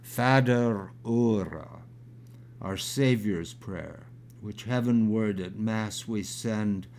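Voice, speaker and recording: A man in his sixties with a crackly, gravelly voice, reading his own poem aloud, halting with fillers when he talks and measured when he recites. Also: on the low side, darkish tone. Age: 60s. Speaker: poet.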